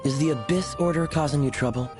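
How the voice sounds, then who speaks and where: An actor playing a suave, teasing young knight in a video game, speaking in a smooth, sly manner. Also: male voice, on the high side, fast talker, music in the background, close to an everyday voice. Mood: sly, amused. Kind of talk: video game character voice lines.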